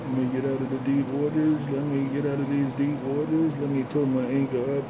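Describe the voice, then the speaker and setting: Male CB radio operator, showy repetitive patter with flat, narrow intonation, dull lo-fi sound under heavy noise. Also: medium pitch, fairly quick. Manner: repetitive, showy chanting of phrases. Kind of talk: CB radio talk, chanting catchphrases.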